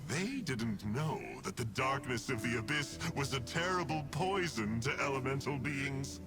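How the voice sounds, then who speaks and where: A man's voice: mid-range voice, expressive, a little slow, natural voice. A man voicing a sly, self-amused villain with a breezy, mocking, theatrical manner in an anime-style video game. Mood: smug explaining. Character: sly, flippant, self-amused villain schemer of a dark order. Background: game music.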